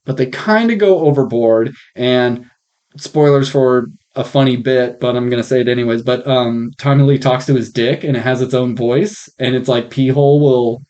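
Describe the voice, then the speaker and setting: A man hosting a podcast, chatting casually in an informal, frank and joking way, on slightly rough mic audio. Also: medium pitch, normal speed, darkish tone. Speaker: podcast host.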